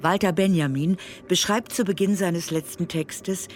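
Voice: medium pitch, measured pace, slight background noise, close to an everyday voice. A performer playing a dry, pithy debater in a scripted philosophical radio-play dialogue.

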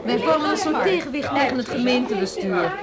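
An actress playing an assured, sharp-tongued woman board chair in a radio play, dry and pointed. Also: sits high, expressive, fairly quick. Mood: wry, pointed. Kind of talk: Dutch radio play acting. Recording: busy crowd chatter behind.

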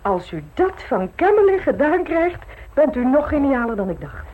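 Shrewd married society woman, old radio play, arch n teasing, highish lilting voice, noisy recording. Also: very animated, normal speed, narrow, tinny audio. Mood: amused, sceptical. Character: worldly, shrewd, amused married society lady.

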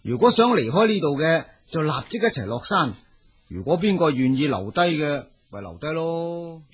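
An actor playing a worried, complaining monk who likes to persuade, in a Cantonese audio drama. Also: male voice, on the high side, big pitch swings, normal speed, breathy edge. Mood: persuasive. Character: grumbling, worried monk who doubts and schemes.

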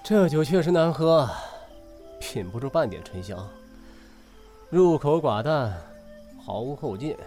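A man's voice: medium pitch, sing-song, measured pace, pauses often, darkish tone, soft background music. A man acting a carefree, teasing, centuries-old ghost with a youthful air and haughty archaic speech in a period audio drama. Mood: languid, disdainful.

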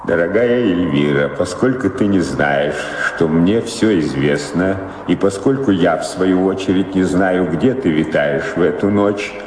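An actor playing a dry, philosophical servant scribe in a radio drama, formal and deliberate. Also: male voice, low voice, darkish tone. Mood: solemn, reciting. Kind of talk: theatrical radio drama acting. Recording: reverberant hall, background noise.

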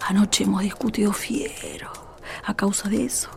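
A woman playing a troubled, humble rural mother in a radio drama, speaking earnestly in a breathy voice with a northwestern Argentine accent. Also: mid-range voice, fairly even intonation, normal speed, whispered. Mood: distressed. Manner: earnest, plaintive, rustic.

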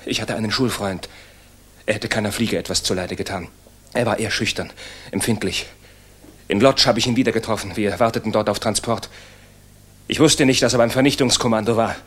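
An actor playing an idealistic, disillusioned young German officer in a 1960s radio play, earnest, halting and intense. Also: male voice, lowish voice, fairly bright tone, slightly rough. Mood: haunted, subdued.

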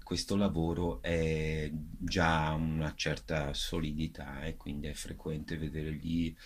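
A man speaking as a theatre director in a radio interview, explaining in a halting way as he searches for words. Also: a bass voice, flat delivery.

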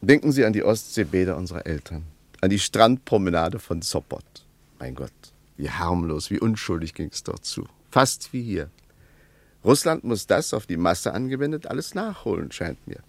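Reflective man, gravelly low voice, lively intonation, dry sound. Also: no echo, heavily acted. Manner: measured, argumentative. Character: reflective man, lecturing on society. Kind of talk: 1950s radio play acting.

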